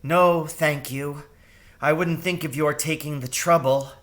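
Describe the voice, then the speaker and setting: A man's voice: fairly high pitch, fairly even intonation, measured pace, a bit bright. A man voicing a timid, polite mother mouse in a storybook who is trying to sound brave, nervous and restrained. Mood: nervous politeness.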